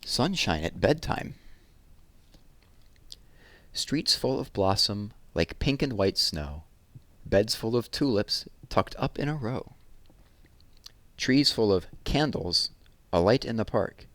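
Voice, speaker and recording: A man with a low voice hosts a children's read-aloud in a close, dry recording. He gives verse an expressive delivery and talks to young listeners in simple, casual terms. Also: slow, pauses often, no room sound. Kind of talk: reading children's poems aloud with casual asides.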